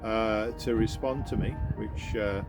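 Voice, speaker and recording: Male how-to vlogger, fairly deep voice, unscripted and hesitant step-by-step delivery, music playing underneath. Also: steady tone, a little slow, vocal fry. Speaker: sailing vlogger. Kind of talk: informal how-to explanation.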